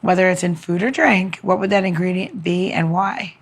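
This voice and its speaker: A woman's voice: lowish voice, moderate pace, slightly rough. A woman co-hosting a podcast in an informal, teasing, playful way. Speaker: podcast co-host. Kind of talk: casual, playful chat.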